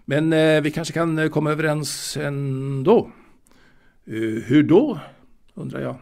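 Male actor playing wistful secretive man, audio drama monologue, slightly high pitch, gravelly fry, hesitant, confiding, reminiscing. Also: a little mellow. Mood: hesitant, matter-of-fact. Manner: hesitant, halting, repeating words.

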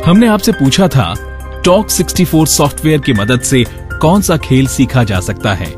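A man presents a radio programme in a medium-pitched voice. He reads scripted narration and announcements, with faint music behind him. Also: lively intonation, normal speed. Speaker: radio show host.

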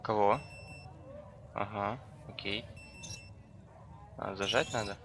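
A hoarse young man casually commenting while playing a video game, slangy and mumbling at times. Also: fairly low pitch, steady tone, slow pace, noisy background. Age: young adult. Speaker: gameplay commentator. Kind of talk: casual let's-play commentary.

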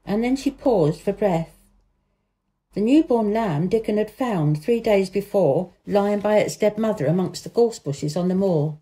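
A woman's voice: medium pitch, lively intonation, measured pace, mellow, low-quality recording. A woman reading a children's novel aloud as a storybook narrator.

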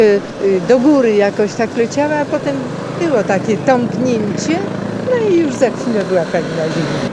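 A woman in her seventies sharing memories in an interview, recorded outdoors on a city street. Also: on the high side. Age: 70s. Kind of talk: reminiscing in an interview. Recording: loud traffic and street noise.

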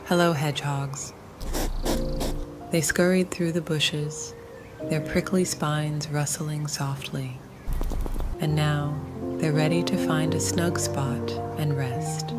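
A woman narrating a calming bedtime story for toddlers in a soothing, gentle manner, with long pauses. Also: low voice, over music.

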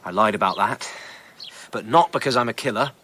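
Flippant sly ladies man, sharp bright voice, radio drama, bit of background noise. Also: lowish voice, brisk. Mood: defensive. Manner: sardonic. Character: sly, flippant male charmer, a kept gigolo.

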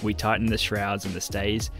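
Man narrating over loud music. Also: fairly low pitch, flat delivery, moderate pace.

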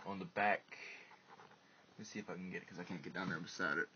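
A man's voice: low voice, steady tone, fast, pushed and bright. A plain-spoken man giving a casual hands-on walkthrough as an informal vlogger, recorded on a handheld camera around a truck. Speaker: vlogger demonstrating an install. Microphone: handheld phone or small camera. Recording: muffled, noisy handheld camera audio.

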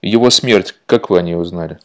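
Quick, low-voiced male interviewer. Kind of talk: conversational interview questions.

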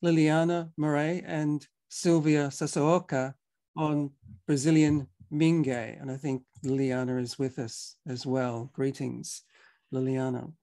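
A man hosting a video-call talk, introducing speakers in a measured, formal way with some fillers. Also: fairly high pitch, little pitch movement, mellow. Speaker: webinar host. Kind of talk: moderating an online talk.

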